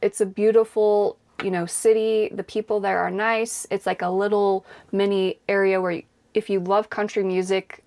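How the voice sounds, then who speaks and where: A woman's voice: mid-range voice, flat delivery, moderate pace, a little mellow. A woman co-hosting a travel vlog, chatting casually and agreeably about life on the road. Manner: chatty, agreeable, many yeahs. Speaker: vlog co-host. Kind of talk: casual chat to camera.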